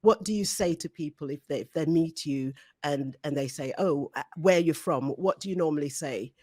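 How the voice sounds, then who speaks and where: A woman's voice: deep voice, moderate pace, mellow, no room sound, studio quality. Woman hosting, asking probing questions. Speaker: host. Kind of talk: hosting a panel discussion.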